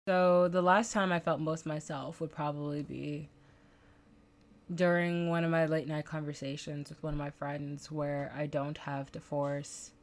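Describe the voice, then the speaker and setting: Low-voiced young woman, faint Bahamian lilt. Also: flat delivery, measured pace. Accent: light Bahamian. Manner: precise, careful diction with chatty fillers. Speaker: podcast co-host. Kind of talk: casual chat.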